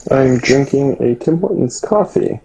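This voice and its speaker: A man's voice: mid-range voice, expressive, unhurried, gravelly, warm tone, slight background noise, poor audio. A man chatting casually as a podcast co-host, phrasing his thoughts hesitantly. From Canada. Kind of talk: casual chat.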